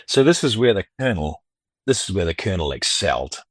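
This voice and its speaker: A man's voice: low voice, big pitch swings, fast, slightly rough, dry recording, clean studio sound. Rambling, upbeat man in his fifties. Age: 50s. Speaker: guest, record collector and dealer. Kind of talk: casual interview chat.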